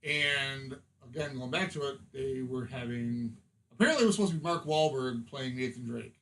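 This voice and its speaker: A man's voice: medium pitch, normal speed, fairly bright tone. A man co-hosting a podcast, talking in casual, conversational style. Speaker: podcast co-host.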